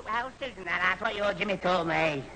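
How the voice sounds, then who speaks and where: A man's voice: sits high, moderate pace, bright, forceful tone. A man playing a dim, muddled but good-natured young lad who stammers and repeats himself in a 1970s radio sitcom. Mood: muddled, gormless. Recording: old hissy radio broadcast, roomy hall.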